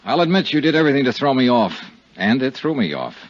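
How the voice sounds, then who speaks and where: A man's voice: medium pitch, big pitch swings, fast talker, no echo. An American man acting a shrewd, world-weary insurance investigator who narrates in a 1950s radio detective drama. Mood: stern, weary. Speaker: lead actor and narrator. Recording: muffled 1950s radio recording.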